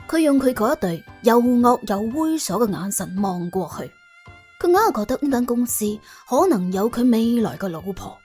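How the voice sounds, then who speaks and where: Animated, husky Cantonese woman storyteller. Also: a little high, normal speed. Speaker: audiobook narrator. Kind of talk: dramatic, wry storytelling narration. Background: soft background music.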